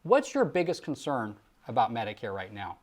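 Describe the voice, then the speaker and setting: A man's voice: medium pitch, big pitch swings, normal speed. Emphatic male sales coach. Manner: emphatic, rhetorical, coaching delivery. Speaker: Medicare sales trainer and host. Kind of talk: instructional commentary on a sales call, acting out example lines.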